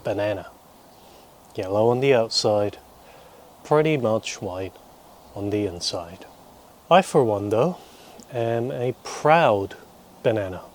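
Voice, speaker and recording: Low-voiced young New man, Korean-born. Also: animated, a little hiss or hum. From South Korea, raised in New Zealand from preteens. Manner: dry, wry humour with deliberate pauses. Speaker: vlogger and teacher. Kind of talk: rambling casual monologue to camera.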